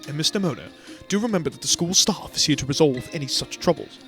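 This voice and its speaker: A man's voice: a little high, animated, quick pace, fairly bright tone, soft background music. A man reading aloud the lines of a formal, polite male school director from a video game, in a stiff read-aloud manner.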